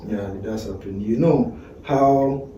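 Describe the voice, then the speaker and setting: Male host, casual prompting interviewer, very dark tone, heavy room echo. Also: on the low side, measured pace, lo-fi sound. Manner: prompting, finishes the other's sentences. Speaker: host asking questions. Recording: very echoey room, distant mic, faint noise.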